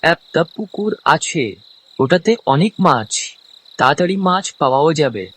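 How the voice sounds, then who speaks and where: Man as village uncle, stubborn, affectionate, colloquial, animated intonation, unhurried, cartoon drama. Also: a little high, slightly rough, exaggerated voice, poor audio. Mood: persuasive. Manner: colloquial, expressive.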